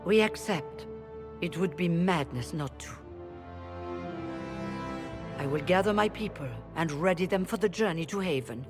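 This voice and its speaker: An actress playing a proud, formal leader of rebel mages in a fantasy video game. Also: female voice, lowish voice, lively intonation, a little slow, long pauses, over music. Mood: solemn, relieved. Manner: formal, solemn. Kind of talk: video game dialogue acting.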